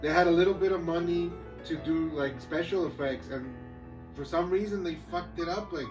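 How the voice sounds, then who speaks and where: A man's voice: a little high, normal speed, muffled recording. Sweary man in a roomy, distant recording. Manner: sweary, slangy. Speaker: podcast co-host. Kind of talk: casual chat about movies and games. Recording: roomy, distant mic with music behind.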